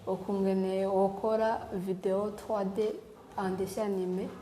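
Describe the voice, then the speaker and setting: A woman's voice: medium pitch, flat delivery, a little slow, warm tone, reverberant, slight background noise. A thirteen-year-old Burundian girl introducing herself and a tutorial as a guest demonstrator. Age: 13 years old.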